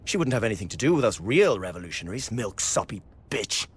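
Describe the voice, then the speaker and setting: An actor playing a spiteful, mocking young revolutionary son in a radio drama, sneering and taunting. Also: male voice, lowish voice, sing-song, fast, husky, exaggerated voice.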